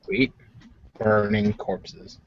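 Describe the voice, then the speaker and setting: A man's voice: extremely slow, a little hiss or hum. Wisecracking man on a muffled recording. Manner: wisecracking, teasing. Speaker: tabletop game player. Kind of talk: casual banter.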